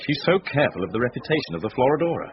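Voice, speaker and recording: An actor playing a club boss's efficient private secretary in a radio drama, polite, careful and discreet. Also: male voice, mid-range voice, big pitch swings, very fast, natural voice. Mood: discreet, anxious. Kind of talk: British radio drama acting. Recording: dull lo-fi radio broadcast.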